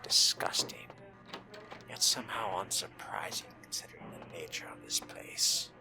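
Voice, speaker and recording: A man voicing a haughty, scheming fledgling vampire in a video game roleplay, breathy and near-whispered, musing to himself in pompous, disdainful language. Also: low-pitched, normal speed, music in the background, lightly acted. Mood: disdainful, smug. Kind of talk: in-character roleplay monologue over a video game.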